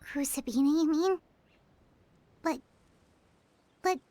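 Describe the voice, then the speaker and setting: Very high, squeaky fairy companion. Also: female voice, takes it slowly, warm tone. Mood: hesitant. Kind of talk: scripted game voice acting, cartoonish.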